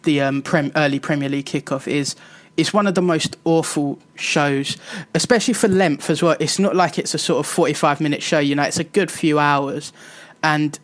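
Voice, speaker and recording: A British man hosting a casual football chat show, who often chips in short agreements and leads the topics. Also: on the high side. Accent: British English. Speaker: show host.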